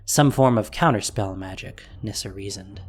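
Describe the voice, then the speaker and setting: A man's voice: on the low side, moderate pace, breathy edge, soft background music. A man narrating a fantasy audio drama in a scripted, dramatic storytelling style.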